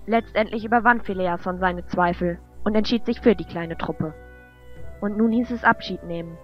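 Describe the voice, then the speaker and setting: A woman narrates a fantasy radio play from a script. Her voice sits at a medium pitch. The recording is a bit dull, with music underneath. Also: normal speed.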